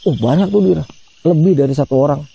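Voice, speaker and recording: A man tells a ghost story in a relaxed, chatty way. His voice is dark and gravelly, and somewhat husky. Crickets and frogs chirp in the background. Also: fairly high pitch, fast, no room sound. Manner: chatty, addresses listener by name, acts out quoted voices. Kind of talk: casual spoken ghost story.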